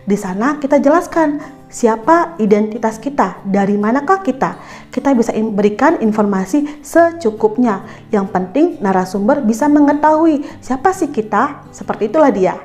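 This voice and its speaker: The higher-pitched woman you would hear on an online school lesson video, instructing pupils directly over a music bed. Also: lively intonation, normal speed, a little mellow. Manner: instructive, addresses pupils directly with check-in questions. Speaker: teacher presenting an educational video. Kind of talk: explanatory school lesson narration.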